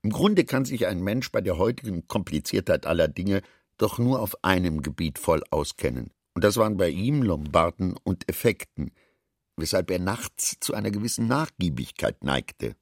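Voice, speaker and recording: Expressive low-voiced male literary narrator. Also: normal speed, dry recording, clean studio sound. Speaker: narrator of a literary radio play.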